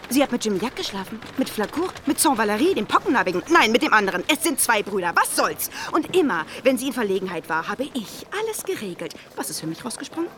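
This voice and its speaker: A woman's voice: fairly high pitch, big pitch swings, quick pace, hardly any pauses, fairly bright tone, slight background noise. An actress playing an embittered, vengeful Parisian woman in a radio drama, ranting with theatrical indignation. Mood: bitter, outraged. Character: embittered, jilted, volatile Parisian woman out for revenge.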